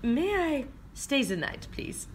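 A woman's voice: sits high, sing-song, slow pace, slight background noise, full character voice. A woman playing a mysterious, urgent female spy in a homemade radio play, with melodramatic, formal delivery. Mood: secretive, formal. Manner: melodramatic, formal, portentous phrasing.

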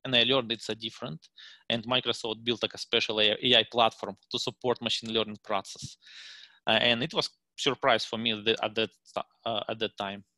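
A man in his thirties with a Russian accent, giving a meetup presentation over a headset on a video call from a room at home, halting with many fillers and restarts. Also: fairly low pitch, level tone, pushed and bright, no room sound. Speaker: guest speaker.

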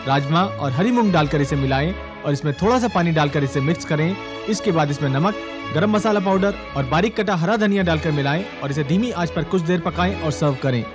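A man reading recipe steps as a scripted, instructional voice-over. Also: a little high. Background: music.

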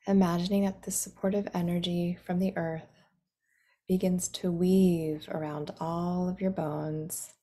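A woman leading a guided meditation in a soothing, instructing manner, some phrases softening into a breathy near-whisper. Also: on the low side, flat delivery, a little slow.

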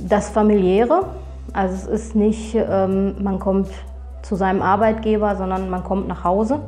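A woman in her thirties, a geriatric nurse, answering interview questions sincerely with many pauses and fillers. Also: medium pitch, steady tone, dark tone, soft background music. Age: 30s. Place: indoors before a plain backdrop. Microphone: lapel mic.

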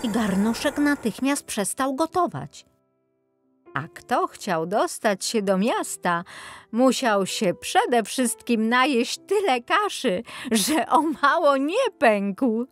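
A woman narrating a scripted children's fairy tale in an expressive, measured storytelling manner. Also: on the high side, soft background music. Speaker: audiobook narrator.

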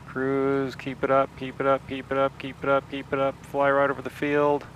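A man hosting a flight simulator video, calmly talking through each step aloud as he flies from a home cockpit, speaking into an aviation headset. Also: mid-range voice, moderate pace, highs cut off, a little hiss or hum. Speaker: flight sim video host. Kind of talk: casual instructional narration while flying.

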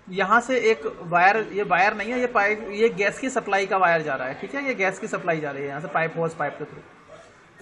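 Indian man teaching an online class, high bright voice, patient, spells terms out letter by letter. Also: poor audio. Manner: explains slowly, spells words out letter by letter, checks understanding often.

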